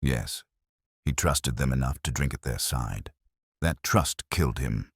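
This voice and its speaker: A man's voice: rumbling low, measured pace, slightly rough, no echo, studio quality, exaggerated voice. A man voicing a keen, commanding Victorian detective in an audiobook, precise and authoritative. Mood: grave, commanding.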